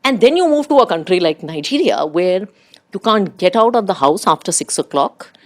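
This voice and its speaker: A middle-aged woman with an Indian accent, a native Urdu speaker, talks as an interview guest. Her voice sits slightly low but moves through a very lively range. She tells stories conversationally and animatedly, slipping into quoted remarks. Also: normal speed. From India, raised abroad in diplomatic postings. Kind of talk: conversational personal storytelling.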